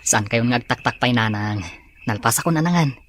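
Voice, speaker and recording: A man's voice: medium pitch, animated, normal speed, a bit bright, slight background noise, natural voice. A man playing a hardworking, respectful young man in an audio drama, speaking reassuringly with an Ilocano accent.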